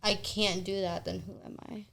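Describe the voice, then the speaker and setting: An American woman with a mid-range voice and heavy vocal fry chats casually as a co-host. Her phrasing is informal. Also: medium pitch, flat delivery, moderate pace, fairly bright tone. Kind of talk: casual chat.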